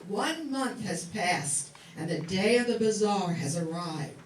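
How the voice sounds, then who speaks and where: A woman's voice: medium pitch, animated, a little slow, a little hiss or hum. A woman reading scripted narration as the announcer of a radio play. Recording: roomy, distant, under loud music.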